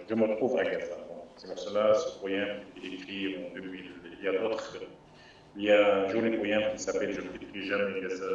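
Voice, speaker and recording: Man, palestinian, low voice, halting and earnest, far-off echoey lo-fi call audio. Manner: halting, many restarts and fillers, earnest. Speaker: guest speaker on a live call. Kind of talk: informal live talk. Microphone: remote video call. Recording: distant, echoey, dull lo-fi call audio with faint noise.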